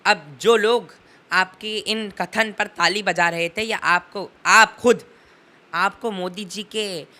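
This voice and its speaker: A man's voice: high voice, fairly even intonation, slow, pushed and bright. A teenage young man giving impassioned political commentary in an interview, emphatic and oratorical, leaning on rhetorical repetition.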